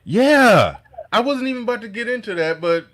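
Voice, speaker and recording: A man's voice: sits high, expressive, normal speed, a bit bright. A man in casual online chat, rambling with many fillers.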